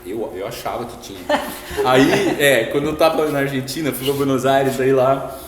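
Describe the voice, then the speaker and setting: Relaxed storytelling man in echoey room. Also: medium pitch, moderate pace. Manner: relaxed, anecdotal, laughs easily, frequent "né?". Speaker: guest, history teacher. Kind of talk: casual storytelling in interview. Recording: roomy echo, long reverb, noisy.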